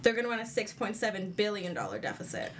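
A woman's voice: medium pitch, sing-song, quick pace, noisy background. A woman co-hosting a podcast, explaining budget figures in a matter-of-fact, casual way. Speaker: podcast co-host. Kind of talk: reading out budget highlights, casual chat. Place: indoors around a table.

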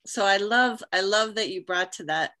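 A woman with a bright, sharp tone hosts a casual conversation. She talks in an encouraging, affirming way and keeps her pitch in a middle range. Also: moderate pace. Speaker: interview host. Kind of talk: casual interview chat.

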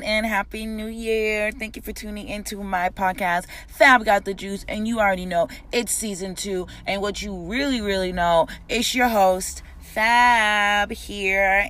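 A woman's voice: mid-range voice, fairly bright tone. Upbeat woman podcast host. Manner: upbeat, rambling questions with many fillers. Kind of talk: casual podcast interview.

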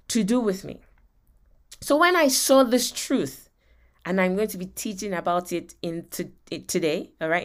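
Emphatic Nigerian woman teaching on faith. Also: medium pitch. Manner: emphatic, repeats phrases and checks in with listeners. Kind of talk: motivational faith teaching with personal testimony.

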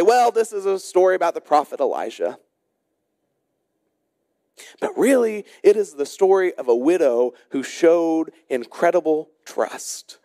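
A man delivers a sermon in a voice pitched a bit higher than most men's. He is an emphatic storyteller, shifting between brisk narration and slow, dramatic phrasing. The sound is dry, with very little room around the voice. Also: a little mellow, no room sound. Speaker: pastor preaching. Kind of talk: sermon with storytelling and scripture exposition.

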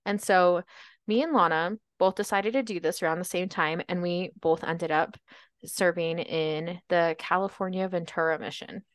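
Young woman, podcast co-host, chatty and upbeat, lowish voice, dry close sound. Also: steady tone, moderate pace, no room sound. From Baltimore, Maryland. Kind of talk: casual chat.

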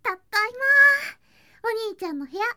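A woman playing a sweet, clingy younger girl cousin in an ASMR voice drama, soft and breathy, often whispering, with a cutesy, affectionate manner. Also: extremely high pitch, animated, normal speed, no echo, clean studio sound, full character voice. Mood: cheerful, affectionate. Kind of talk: Japanese ASMR situation voice drama. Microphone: close ASMR microphone.

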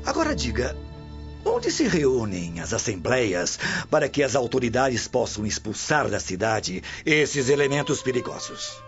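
A man's voice: medium pitch, huge pitch swings, measured pace. A man with a Brazilian accent playing a stern, menacing Roman guard commander in a radio soap, formal and contemptuous. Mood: stern, accusing. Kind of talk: radio soap acting in Portuguese. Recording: muffled radio drama with music underneath.

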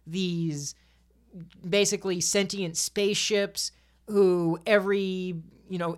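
A woman chatting casually as a podcast panelist, often repeating words and restarting her phrases as she argues a point. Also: on the low side. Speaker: podcast panelist debating games. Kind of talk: casual chat.

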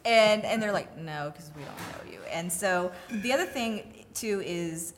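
A woman co-hosting a casual film discussion in an enthusiastic, excitable way. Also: mid-range voice, measured pace, noisy background. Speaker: podcast co-host.

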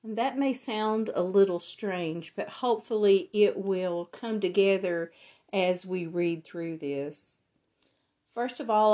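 A woman with a hoarse, raspy voice from laryngitis, teaching a Bible lesson and reading scripture in a deliberate way. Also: fairly low pitch, a little mellow.

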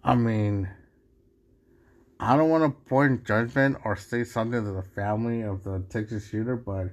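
A man's voice: fairly low pitch, normal speed, highs cut off. A man from Mexico hosting a solo podcast, talking casually in a rambling way with many restarts and asides. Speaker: podcast host.

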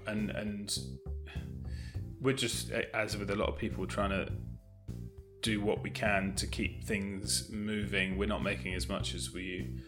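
A man's voice: fairly low pitch, little pitch movement, unhurried, music in the background. A man answering as an interview guest in casual, thoughtful, somewhat hesitant and modest talk. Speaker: interview guest, audio drama producer.

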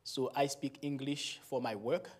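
A man in his forties with a Haitian accent, a native Creole speaker, giving a slow, laughing talk to a church as a guest pastor. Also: mid-range voice, steady tone. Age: 40s. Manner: slow, warm and laughing, with frequent pauses.

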